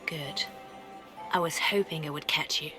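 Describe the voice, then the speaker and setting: A woman's voice: low voice, measured pace. An actress playing a shrewd, businesslike woman spymaster and advisor in a fantasy game, matter-of-fact and dismissive. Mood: brisk, confidential. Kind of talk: video game fantasy acting. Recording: music behind the voice.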